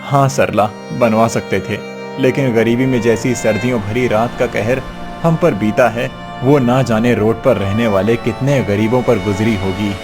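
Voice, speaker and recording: A man's voice: fairly low pitch, fairly even intonation, normal speed, over music, voice pushed down, natural voice. A man acting a poor, hardworking, noble-hearted father and labourer in a cartoon moral story, earnest and emotional. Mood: solemn, kind.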